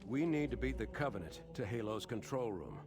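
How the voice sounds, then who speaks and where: A middle-aged actor playing a seasoned starship captain who gives orders in a measured, commanding way. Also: male voice, medium pitch, sing-song. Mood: grave. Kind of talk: game cutscene voice acting.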